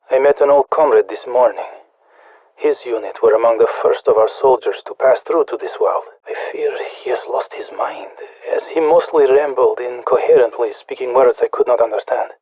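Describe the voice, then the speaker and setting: Muffled, dry radio audio carries a stranded soldier. He is stoic and haunted, speaking in a husky, measured, foreboding way, as in a video game transmission. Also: mid-range voice, no room sound.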